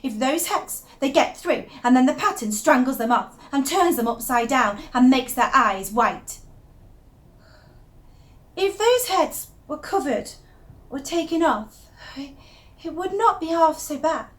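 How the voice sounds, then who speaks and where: A woman performs an unravelling young wife in a dramatic monologue, her voice pitched high. She is intense and confiding, moving between hushed secrecy and emphatic, obsessive fascination, as if telling a diary what nobody else may know. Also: lively intonation, measured pace. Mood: agitated, marvelling. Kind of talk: dramatic first-person monologue reading.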